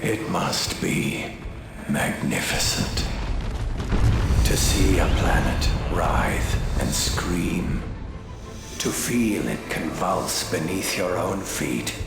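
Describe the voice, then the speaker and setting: An actor playing a smug, sinister traitor warlord in a video game, savouring each phrase with theatrical, taunting relish. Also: male voice, deep voice, expressive, very slow, gravelly, full character voice. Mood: gloating rapture. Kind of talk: video game cutscene villain acting. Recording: echoing voice under loud music. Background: loud dramatic music.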